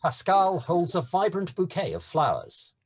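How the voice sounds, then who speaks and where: A man's voice: high voice, wildly swooping pitch, unhurried, slightly rough. A man narrating scene headings and stage directions from a script.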